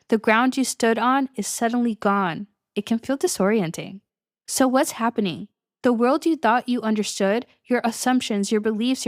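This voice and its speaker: A woman's voice: fairly high pitch, no room sound, studio quality. A young adult woman hosting her own podcast as a coach, talking casually and upbeat, often breaking into little laughs. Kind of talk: solo podcast monologue.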